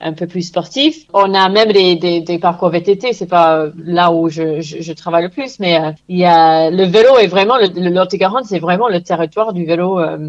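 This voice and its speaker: Brief: English woman guest, low-pitched, hesitant with fillers, English accent, lo-fi radio sound. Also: moderate pace, few pauses. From England. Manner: hesitant, many fillers and repeated words. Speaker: bike rental business owner, guest. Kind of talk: radio interview.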